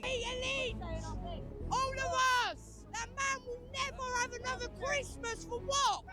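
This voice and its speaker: A woman protester interviewed on the street, talking heatedly and indignantly with swearing. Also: extremely high pitch. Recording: street interview clip with music over it.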